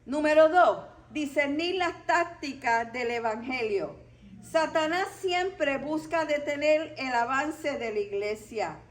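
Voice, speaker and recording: A woman's voice: high-pitched, animated, slow. A woman from Puerto Rico with a Puerto Rican accent, teaching a Bible study to a church group in a didactic way, stressing key points.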